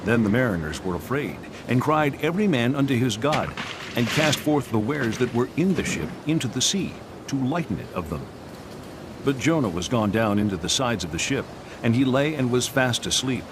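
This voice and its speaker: A man's voice: medium pitch, big pitch swings, normal speed, noisy background. A man giving solemn, scripted narration for a dramatised scripture reading.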